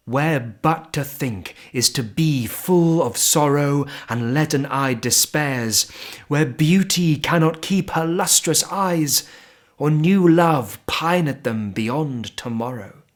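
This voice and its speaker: Guy reading a poem out loud really slowly, solemn and dramatic, mid pitched voice, pauses between phrases. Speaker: poetry reader. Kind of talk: dramatic poetry recitation.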